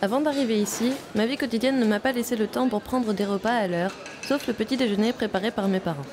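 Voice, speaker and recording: A young woman from Vietnam, a native Vietnamese speaker, calmly narrating a personal video diary as a visiting film student. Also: a little high, fast talker, crowd noise. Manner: calm, reflective, first-person storytelling.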